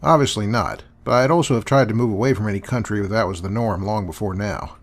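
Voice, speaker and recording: A man's voice: on the low side, fast talker. A man hosting and answering questions in a dry, sarcastic, dismissive manner. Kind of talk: sarcastic commentary.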